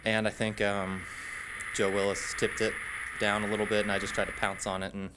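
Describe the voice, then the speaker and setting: Man, young adult, player, low voice, even delivery, table microphone. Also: brisk, very noisy. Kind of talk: postgame interview. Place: press room at a table.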